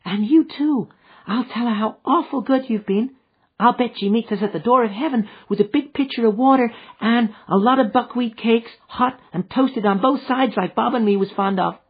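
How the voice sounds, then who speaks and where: A man voicing an innocent, thirsty little girl with a high childlike voice and a frontier dialect, in a storybook reading. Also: normal speed, husky, phone-quality sound, heavily acted. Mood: eager.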